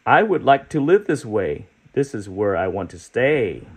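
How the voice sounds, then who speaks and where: A man's voice: fairly low pitch, sing-song, measured pace, a little hiss or hum. A man voicing a cheerful spotted creature who wants to stay at the zoo, reading its rhymes in a sing-song way. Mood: eager. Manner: sing-song rhyming, eager.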